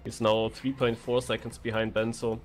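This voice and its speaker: A man's voice: on the low side, level tone, normal speed, soft background music. A man giving conversational live commentary on a racing game as a co-caster. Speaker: esports co-commentator.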